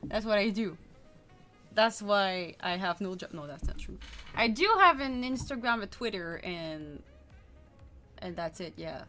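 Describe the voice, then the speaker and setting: A woman artist chatting casually on a stream, bubbly and often laughing, trailing off quietly at times. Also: mid-range voice, a little slow, pauses often, soft background music. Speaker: artist and YouTuber, co-host. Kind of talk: casual chat on a livestream.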